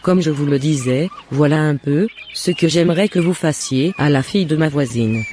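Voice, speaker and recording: Man voicing a high-pitched jealous neighbour woman. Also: moderate pace, darkish tone, slight background noise, close to an everyday voice. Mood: menacing. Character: jealous, scheming woman neighbour turned remorseful.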